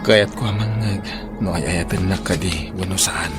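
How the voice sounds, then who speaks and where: An actor playing an earnest, devoted young suitor in an Ilocano radio drama, with an Ilocano accent. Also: male voice, low-pitched, fairly even intonation, normal speed, natural voice. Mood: probing, tender. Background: background music.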